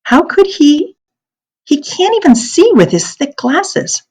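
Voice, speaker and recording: A woman's voice: high voice, sing-song, slow, a little mellow, pitched above the performer's own voice, exaggerated voice. A woman reading a children's novel aloud, voicing an eager, indignant ten-year-old schoolgirl with an earnest, questioning way of talking. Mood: indignant, puzzled.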